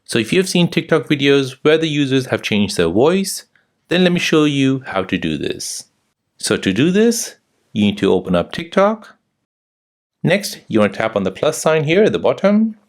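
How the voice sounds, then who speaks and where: A man's voice: medium pitch, very animated, normal speed. A man hosting a how-to tutorial, giving scripted step-by-step instructions. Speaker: tutorial host.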